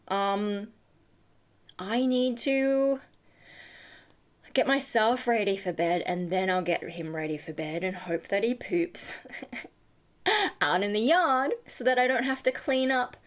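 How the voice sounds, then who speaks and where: Aussie girl with a high voice chatting in a vlog, worn out and rambly, lots of ums and likes. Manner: rambling, chatty, full of fillers and self-deprecating asides. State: tired, running on fumes. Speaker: vlogger and singer. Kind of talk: rambling casual vlog chat.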